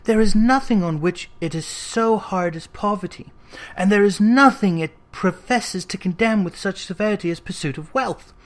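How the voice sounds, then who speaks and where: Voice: mid-range voice, normal speed, voice pushed up, close to an everyday voice. A narrator voicing a defensive young man, hardened by greed, who retorts impatiently in a classic storybook. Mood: defensive impatience.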